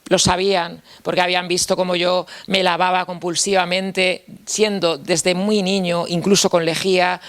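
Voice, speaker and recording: A man's voice: high-pitched, a bit bright. A man from Spain with a Spanish accent gives a personal testimony talk, reflective and candid, often repeating phrases and closing points with a questioning tag. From Spain, Castilla-La Mancha. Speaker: speaker at a mental health talk.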